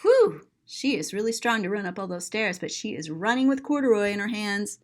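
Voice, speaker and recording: A woman's voice: mid-range voice, sing-song, brisk. A woman reading aloud to children as a storyteller, expressively, with simple explanatory asides and dramatic gasps. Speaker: storyteller, author and teacher.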